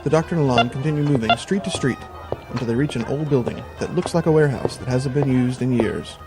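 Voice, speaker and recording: A man with a medium-pitched voice narrates an audio drama. His delivery is scripted storytelling, set over a clearly audible music bed. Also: fast.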